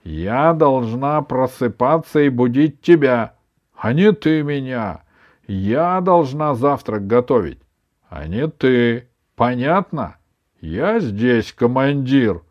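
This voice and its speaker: A man's voice: sits high, expressive, unhurried, a little mellow, muffled recording, voice pushed up. A man voicing a bossy, doting old grandmother in a children's story, with an emphatic, plaintive, scolding delivery. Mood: indignant, bossy.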